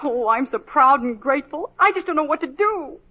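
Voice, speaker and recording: Very high-voiced earnest farm girl. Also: expressive, brisk. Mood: excited, proud. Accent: American. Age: young adult. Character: wholesome, earnest young farm daughter. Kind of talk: 1950s radio musical acting. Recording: dull vintage radio recording, loud music.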